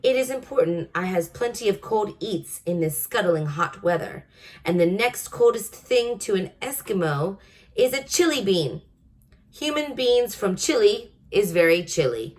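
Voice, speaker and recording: A woman voicing a greedy, bullying man-eating male giant in a storybook, roaring and shouting insults. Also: mid-range voice, sing-song, measured pace. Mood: greedy, boastful.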